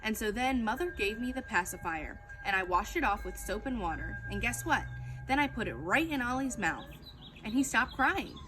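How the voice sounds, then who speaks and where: Animated woman reading a children's story. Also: a little high, moderate pace, music in the background, poor audio. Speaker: storytime reader. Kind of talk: expressive children's book read-aloud.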